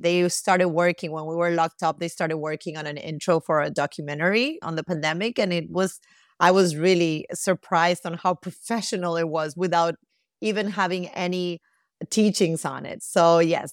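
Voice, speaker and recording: A woman's voice: lowish voice, moderate pace, no room sound, clean studio sound. A middle-aged woman from Costa Rica answering as a guest in a conversational interview.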